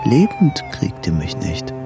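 Radio drama role: bitter cornered man, inward and unhinged, lowish voice with extreme swings. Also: huge pitch swings, unhurried, over loud music, full character voice. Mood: desperate, fatalistic. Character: desperate, bitter, cornered man losing his mind.

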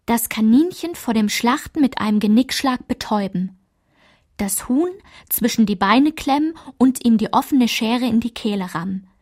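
Higher-voiced, matter-of-fact female narrator. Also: expressive, normal speed, a little mellow. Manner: clipped, list-like, matter-of-fact delivery. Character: matter-of-fact female narrator listing rules and village routines. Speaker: narrator in a radio play. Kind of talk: literary scripted recitation.

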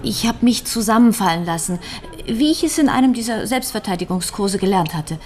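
Schauspielerin als gefasste, mitgenommene Witwe, leichtes Hintergrundrauschen. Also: female voice, medium pitch, lively intonation, a little fast, a little mellow, a little hiss or hum, natural voice. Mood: shaken, halting. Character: shaken but composed widow, recently attacked. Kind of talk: radio crime drama acting.

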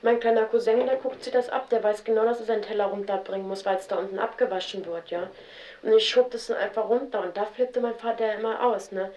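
A young woman telling about her childhood in a halting, spontaneous way, often with fillers and restarts. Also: mid-range voice, steady tone, a little mellow. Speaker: interviewee. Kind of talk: spontaneous personal account. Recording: roomy, dull 1970s radio tape.

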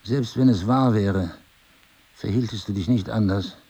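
A brooding husband in an intimate audio drama. His voice is low, dark and husky. He speaks articulately, with a probing, sardonic edge, as a suspicious writer who has lost his memory. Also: a little slow. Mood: suspicious, agitated. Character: brooding amnesiac husband, a sharp-witted, suspicious crime writer.

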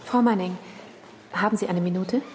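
A woman's voice: mid-range voice, normal speed, slight background noise, close to an everyday voice. An actress playing a sceptical female prosecutor in a radio drama, putting direct, probing questions.

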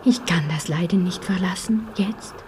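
A woman's voice: fairly low pitch, moderate pace, a little mellow, a little hiss or hum, natural voice. A woman playing a devoted, resolute woman in wartime, speaking earnestly and solemnly in a German radio play. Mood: solemn resolve. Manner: earnest, declamatory.

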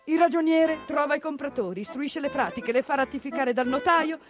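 A woman performing a theatrical monologue, narrating dramatically, moving between hushed and declaimed delivery. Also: high voice, a little fast, music in the background.

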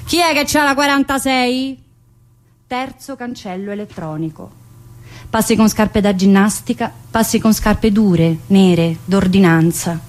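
A woman's voice: mid-range voice, fairly even intonation, a little slow. A woman, an actress, reciting a theatrical monologue, in short clipped fragments. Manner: clipped, listing sensory fragments.

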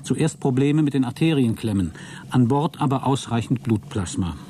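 An actor playing a deluded, exhausted astronaut who keeps a logbook in a radio play, halting, self-justifying and grandiose. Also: male voice, medium pitch, lively intonation, mellow, a little hiss or hum, lightly acted. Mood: weary, uneasy. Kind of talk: dramatic radio play monologue.

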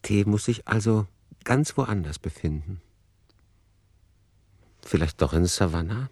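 A man playing a reflective author who narrates a literary radio play in a deliberate, ponderous manner. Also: deep voice, lively intonation, darkish tone, husky, dry recording, full character voice. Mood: measured, ironic. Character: reflective male author musing over his novel.

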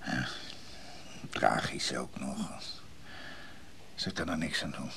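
An actor playing a troubled, worn-out hospital patient in a radio drama, speaking in a halting, subdued manner. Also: male voice, very deep voice, vocal fry, bright, forceful tone, slight background noise, exaggerated voice. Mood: weary, bitter.

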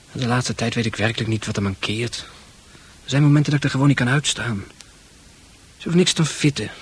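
A shy teenage boy with a very breathy, husky voice at a middling pitch. He is gentle and awkward, talking softly and haltingly in an intimate radio play manner. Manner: hesitant, soft-spoken, awkward.

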